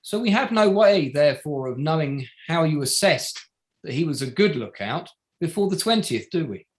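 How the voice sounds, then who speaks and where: Male barrister acted by a man, fairly high voice, formal, methodical, pointed cross-examination, courtroom drama read-through. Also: animated, normal speed, natural voice.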